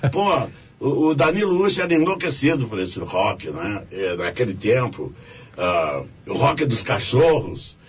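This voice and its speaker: A man, a singer-songwriter guest on a radio show, talking in a halting, rambling way. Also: medium pitch, very animated, dull audio. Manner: halting, rambling, stumbles over words. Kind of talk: radio interview chat. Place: radio studio.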